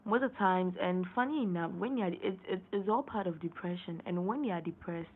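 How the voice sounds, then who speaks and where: A young woman hosting a casual late-night live stream, hoarse and losing her voice, rambling and repeating herself as she clears her throat. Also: on the low side, brisk. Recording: tinny phone-line sound, faint noise.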